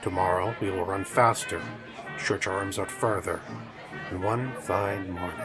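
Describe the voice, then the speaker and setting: A man's voice: sits low, fairly even intonation, unhurried. A man playing a reflective narrator, delivering dramatic literary narration. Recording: loud music and crowd sounds behind.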